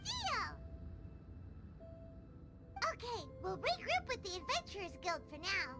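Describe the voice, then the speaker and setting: A woman voicing a tiny, bubbly, childlike fairy guide in a video game, squeaky, cheerful and boastful. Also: huge pitch swings, slow, exaggerated voice. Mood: eager. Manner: cheerful, boastful, third-person self-reference. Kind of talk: scripted English video game voice acting. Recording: loud game music behind voice.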